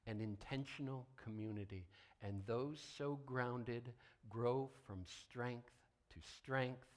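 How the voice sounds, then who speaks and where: A man preaching an informal sermon as a pastor, conversational and folksy with wry asides. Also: medium pitch. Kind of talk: informal sermon, partly read from notes.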